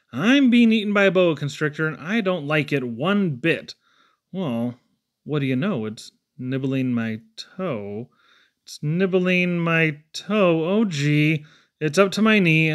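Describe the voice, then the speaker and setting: A man playing a hapless victim being swallowed by a snake in a comic children's poem, exclaiming with dismay. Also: on the high side.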